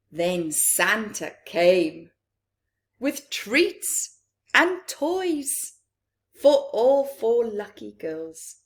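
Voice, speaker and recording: A woman reading a picture book aloud to young children, expressively, with asides to her listeners and hushed whispers. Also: medium pitch. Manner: acts out characters, pausing for asides to listeners. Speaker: children's story reader.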